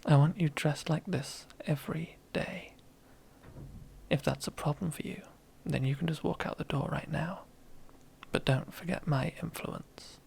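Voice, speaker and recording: A woman's voice: low-pitched, fairly even intonation, a little slow, gravelly, darkish tone, slight background noise, heavily acted. A woman playing a domineering, teasing female boss in an ASMR roleplay, half-whispering in a seductive, commanding way. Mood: controlling, soft. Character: domineering, teasing female boss relishing power over old rival.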